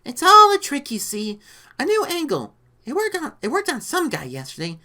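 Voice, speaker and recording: A woman's voice: high voice, huge pitch swings, bright, forceful tone, pitched above the performer's own voice, full character voice. A woman voicing a big ugly lizard man, a pompous storybook villain who talks in grandiose, theatrical, pleading exclamations. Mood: panicked, pleading. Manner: grandiose, melodramatic, drawn-out exclamations.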